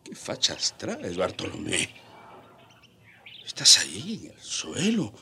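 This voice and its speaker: An actor playing a haughty, exasperated Spanish conquistador captain in a radio drama, scolding and indignant. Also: male voice, low voice, huge pitch swings, takes it slowly, creaky, pushed and bright, a little hiss or hum, full character voice. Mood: disgusted disbelief.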